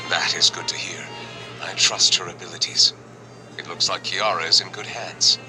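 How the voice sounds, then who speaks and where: A composed, formal male judge from a video game, voiced by an actor. He speaks low and measured, like an official laying out a case, over clear background music. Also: steady tone, close to an everyday voice, rough recording. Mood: calm, serious.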